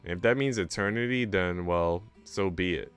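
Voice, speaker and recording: Young guy streamer reading a visual novel, lowish voice, casual slangy asides, soft music behind. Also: moderate pace, clean tone. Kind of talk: narration with casual slangy reactions.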